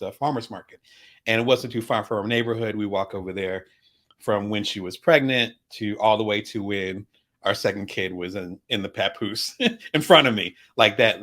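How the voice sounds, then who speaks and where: A middle-aged man hosting a casual vlog, chatty and animated, full of asides and shout-outs to his viewers. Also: fairly low pitch. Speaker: vlog host. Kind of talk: casual talk to camera about products.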